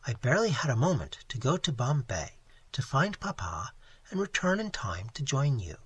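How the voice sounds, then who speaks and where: A man voicing an earnest, tearful young woman with a soft, sighing, pleading delivery in a storybook reading. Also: medium pitch, very animated, moderate pace, slightly rough, no echo, full character voice. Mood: gentle, sighing. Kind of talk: dramatic audiobook character reading.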